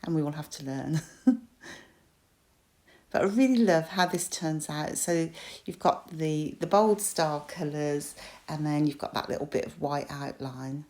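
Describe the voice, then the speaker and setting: Croaky British woman chatting through a craft project. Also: deep voice, measured pace, darkish tone. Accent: British English. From United Kingdom. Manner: chatty, self-deprecating, with frequent ums and small laughs. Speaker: crafter and shop designer. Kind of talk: crafting tutorial voice-over.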